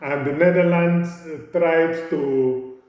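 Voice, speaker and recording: Man lecturing slowly in an echoey hall. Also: a little high, steady tone, darkish tone. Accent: Nigerian English. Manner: halting, repeats words, stretches fillers. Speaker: law lecturer. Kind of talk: university lecture. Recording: very echoey room.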